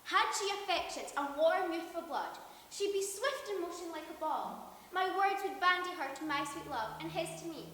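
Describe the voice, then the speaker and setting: A woman's voice: very high voice, animated, moderate pace, pushed and bright, heavily acted. A woman playing an impatient, lovestruck teenage girl in Shakespearean stage style, declaiming and pleading with urgency. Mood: restless longing. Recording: very echoey hall, distant mic, faint noise.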